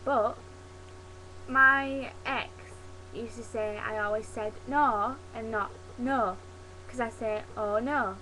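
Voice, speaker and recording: Young yorkshire woman chatting on her webcam, bright slightly high voice, faint hum behind. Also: fairly even intonation, slow pace, rough recording. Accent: Yorkshire, softened toward standard British English. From Yorkshire, England. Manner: chatty, demonstrates dialect words, laughs at herself. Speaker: vlogger. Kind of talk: casual webcam chat. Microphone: webcam mic.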